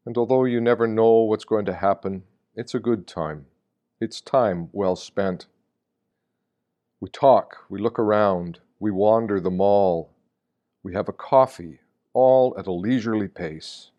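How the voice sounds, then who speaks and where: Low-pitched man reading a reflective meditation. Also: measured pace, warm tone, muffled recording. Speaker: reader of meditations. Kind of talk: scripted reading of a reflective first-person meditation.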